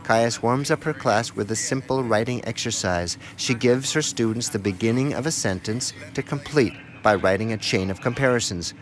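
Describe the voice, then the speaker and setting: A man's voice: medium pitch, normal speed, hardly any pauses. A man reading scripted narration for a radio documentary feature.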